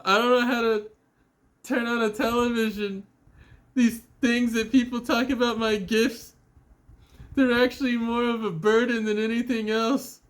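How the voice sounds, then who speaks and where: A man's voice: very high voice, flat delivery, unhurried, voice pushed up. A man mockingly voicing a gifted female spiritual teacher who complains helplessly about everyday things. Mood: mock complaining. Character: mocked gifted woman guru, helplessly complaining.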